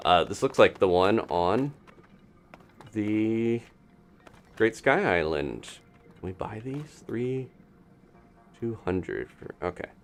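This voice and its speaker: A man's voice: lowish voice, very animated, slow, long pauses. A man chatting casually through a live-streamed game, wondering aloud and laughing often. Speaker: streamer playing a video game.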